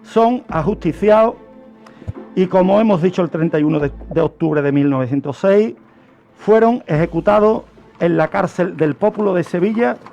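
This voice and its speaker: A man's voice: high voice, normal speed, creaky, dark tone, lo-fi sound, faint music behind. A man from Spain with a Spanish accent presenting a true-crime radio segment in a didactic way, laying out a case and putting questions to listeners. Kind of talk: semi-scripted explanation of a crime case.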